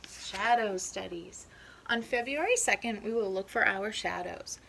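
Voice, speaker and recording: A woman's voice: medium pitch, unhurried, creaky, heavy background noise. A woman teacher reading a children's picture book aloud, explaining things and checking in with young listeners.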